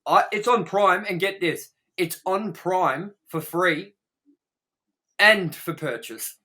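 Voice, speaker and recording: High-pitched, bright-voiced man ranting casually. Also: slow. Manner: exasperated, animated ranting, mimicking imagined people. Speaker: podcast co-host reviewing movies. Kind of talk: casual, profane banter.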